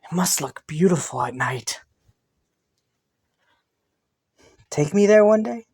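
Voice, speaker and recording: A man's voice: high-pitched, lively intonation, moderate pace, a little mellow, slightly rough, heavily acted. A man playing a haughty, teasing young crown prince in a romantic fantasy audio roleplay, suspicious yet intrigued, with a smug manner. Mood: wistful, curious. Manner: teasing, smug, chuckling and sighing between phrases.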